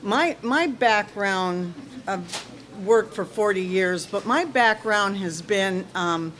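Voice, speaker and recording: A woman's voice: mid-range voice, takes it slowly. Plainspoken woman in her sixties, projecting. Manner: projects a naturally loud voice, plainspoken. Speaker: board candidate. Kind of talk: candidate speech at a community meeting.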